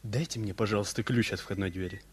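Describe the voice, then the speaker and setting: An earnest, impatient young man in a radio play. He talks fast in a husky voice of middle pitch. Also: steady tone. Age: young adult. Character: earnest, impatient youth just out of school. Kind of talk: stage-style radio play acting.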